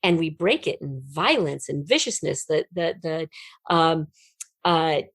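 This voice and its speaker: A middle-aged woman with a slightly low voice, recorded dry with little room sound. She talks as an interview guest, explaining her ideas in an earnest, expressive way. Key words get emphasis as she reflects out loud. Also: measured pace, creaky, breathy edge, dry recording. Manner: earnest, emphatic, expressive storytelling with illustrative metaphors. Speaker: podcast guest, writer.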